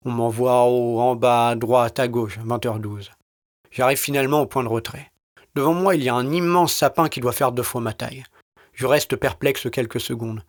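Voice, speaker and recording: A man with a medium-pitched voice reads a scripted first-person story. His delivery is terse and weary, with a wry, colloquial edge. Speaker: narrator and author of an audio short story.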